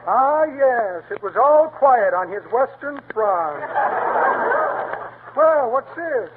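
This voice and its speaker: Sly gloating pickpocket, very high voice, tinny vintage radio. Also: male voice, lively intonation, slow pace, full character voice. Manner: pun-laden, gloating patter. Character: sly male pickpocket boasting of loot. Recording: tinny 1930s radio broadcast, faint hiss.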